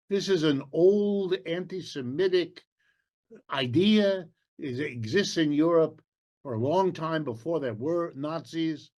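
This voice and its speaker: A slow, measured man lecturing on history, his first language German. His voice sits fairly high for a man, and he leans on key words with deliberate pauses and the odd "uh". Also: a little mellow. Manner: slow, deliberate, emphatic phrasing with pauses and fillers.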